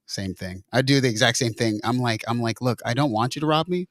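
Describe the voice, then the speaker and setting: A man's voice: mid-range voice, fairly quick, fairly bright tone, no room sound. A man hosting a livestream, talking in a playful, teasing, casual way. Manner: playful, teasing, bro-ish banter. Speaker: livestream host.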